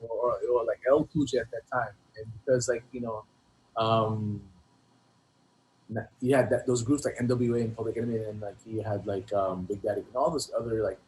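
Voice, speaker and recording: A middle-aged man reminiscing casually as an interview guest, in a halting, slangy way that often doubles back on words. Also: fairly low pitch, steady tone, a little mellow, a little hiss or hum, rough recording. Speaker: guest, rapper.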